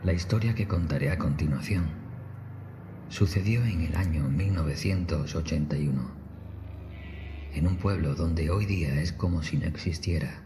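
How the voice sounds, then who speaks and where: Very deep-voiced man narrating horror over music. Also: normal speed. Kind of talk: scripted creepypasta narration, told in first person.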